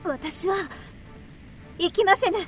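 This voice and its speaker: Very high-voiced devoted young maiden. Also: female voice, slow, faint music behind. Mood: quiet resolve. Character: gentle, devoted, steadfast young maiden in love. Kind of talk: radio drama acting.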